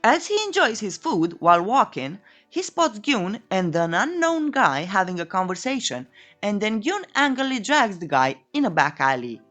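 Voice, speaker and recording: A woman's voice: medium pitch, very animated, normal speed, a bit bright, faint music behind. A woman reading a scripted story recap as a narrator, in a playful, tongue-in-cheek way. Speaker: narrator of a comic recap channel.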